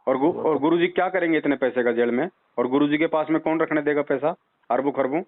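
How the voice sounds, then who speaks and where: A man's voice: a little high, fast. Guy on a tinny phone call, preachy adviser type, insistent, keeps hammering his points. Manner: insistent, admonishing, repeats points for emphasis. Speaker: religious adviser answering a follower's phone call. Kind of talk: persuasive phone conversation, religious counselling. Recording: tinny, narrow phone-line call recording.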